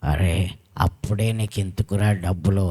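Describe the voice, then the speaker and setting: A performer voicing a weary, reluctant father in a children's puppet show, resigned and gently scolding. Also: sits low, moderate pace, breathy edge.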